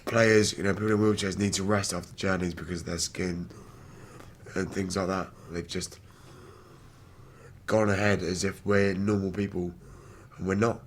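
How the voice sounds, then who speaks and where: A British man in his twenties with a rough, damaged voice, speaking candidly as a wheelchair rugby player in an interview. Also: low voice, little pitch movement, normal speed. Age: 20s. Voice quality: damaged, rough voice from throat surgery. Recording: background noise on location.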